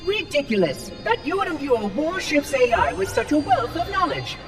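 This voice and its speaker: An eccentric robot caretaker in a game cutscene, high-voiced and lilting. He is prim, pedantic and smug, swinging theatrically in pitch over music. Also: big pitch swings, normal speed. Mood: indignant. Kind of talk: video game cutscene voice acting. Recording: dramatic music behind the voice.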